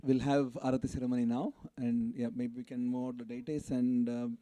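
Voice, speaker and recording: A man's voice: medium pitch, flat delivery, a little fast, warm tone, no echo. A man making informal announcements to a gathering of devotees as the event's announcer.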